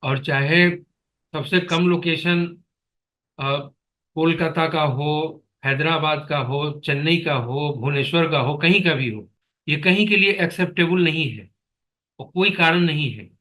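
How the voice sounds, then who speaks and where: A man's voice: fairly high pitch, unhurried, pushed and bright, rough recording. A man chairing a staff conference call in an authoritative, admonishing, questioning manner. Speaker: meeting chair, foundation leader.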